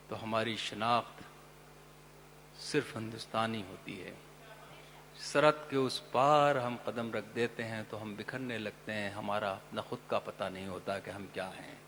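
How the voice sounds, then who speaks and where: A man, a poet on stage, sings his verses in a melodic recital at a poetry gathering, with quick spoken remarks to the audience between the verses. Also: medium pitch, sharp tone, slight background noise. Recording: long hall reverb with faint music.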